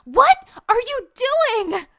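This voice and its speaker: Woman acting a high, bright, haughty cultist. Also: big pitch swings, slow pace, no echo, studio quality, full character voice. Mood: indignant, fervent. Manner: haughty, theatrical. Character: haughty female fanatical cult cleric guard. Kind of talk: fantasy audio drama acting.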